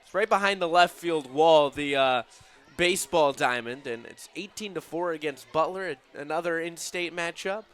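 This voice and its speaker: A man working as color commentator on a live softball broadcast, explaining the plays in an analytical way. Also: a little high, normal speed, clean tone.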